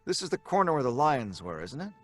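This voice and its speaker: A man's voice: mid-range voice, sing-song, quick pace, a bit bright. A man playing a reassuring father and husband in a live audio drama, with a soothing, paternal manner. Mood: uneasy. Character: father George, reassuring then stern.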